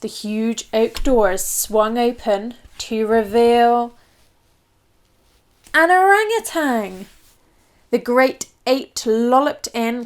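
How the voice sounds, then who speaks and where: Female narrator for children's read-aloud, higher-pitched, expressive storybook delivery.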